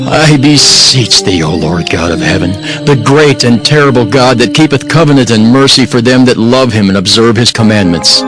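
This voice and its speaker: Man acting a low, earnest, devout biblical narrator. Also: close to an everyday voice. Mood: pleading prayer. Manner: solemn, reverent, formal. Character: earnest, devout cupbearer recounting his own story. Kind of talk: dramatized scripture narration.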